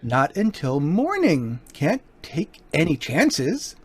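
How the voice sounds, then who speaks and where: Man playing a suave gentleman burglar, animated delivery. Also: fairly high pitch, slow pace, husky, no room sound, studio quality, full character voice. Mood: smug, cool. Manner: courteous, coolly threatening. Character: suave, polite but menacing male gentleman burglar. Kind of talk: old-time radio crime drama acting.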